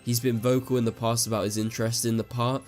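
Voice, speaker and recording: A man's voice: medium pitch, flat delivery, fast, a little mellow, faint music behind. A man hosting his own online show, breaking down news in a casual, chatty way with frequent asides, his voice a little off as he recovers from an illness. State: voice slightly off after an illness. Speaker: YouTube show host. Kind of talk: casual news commentary to camera.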